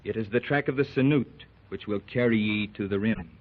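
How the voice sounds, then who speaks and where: Brief: a man as a grave masked warrior chief, slow and archaic, on a tinny old radio recording. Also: fairly low pitch, close to an everyday voice. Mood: solemn. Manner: slow, formal and archaic, with thee and thou. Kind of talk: 1930s radio drama acting.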